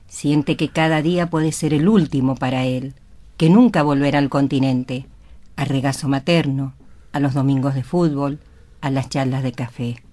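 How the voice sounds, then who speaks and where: A woman giving a solemn, literary narration for a radio drama in a measured way. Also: extremely low pitch, steady tone, mellow. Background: faint music.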